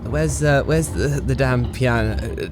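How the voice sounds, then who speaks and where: A man's voice: medium pitch, measured pace, over music, lightly acted. A man acting an ebullient, irreverent English engineer in charge in a 1920s radio play, with theatrical, bantering old-chap phrasing. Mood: flustered, boisterous. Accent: British.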